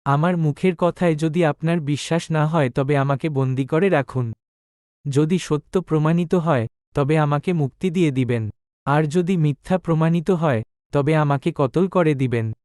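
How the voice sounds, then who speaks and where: A man voicing a shrewd, courteous village physician in an animated moral tale, speaking formally and with measured deference. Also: fairly high pitch, no room sound, clean studio sound, lightly acted. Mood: grave, deferential.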